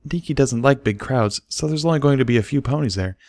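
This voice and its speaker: Guy putting on a shy pony mom, flustered and stammery, pitched way up, storybook cartoon type. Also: quick pace, darkish tone, no echo, clean studio sound, close to an everyday voice. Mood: flustered, pleased. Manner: hesitant, stammering. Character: shy, flustered pony mare, embarrassed doting mother.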